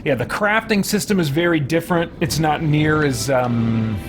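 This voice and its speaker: A man's voice: on the high side, moderate pace. A man giving casual, hesitant, explanatory commentary as he streams a video game. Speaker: gaming streamer. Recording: loud game music behind. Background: game music.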